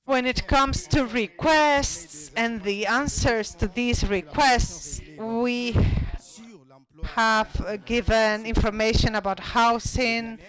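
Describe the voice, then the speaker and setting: Slow, halting conference panel speaker. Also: mid-range voice, a bit bright, rough recording. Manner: slow, halting delivery with frequent restarts. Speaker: panel speaker presenting a study.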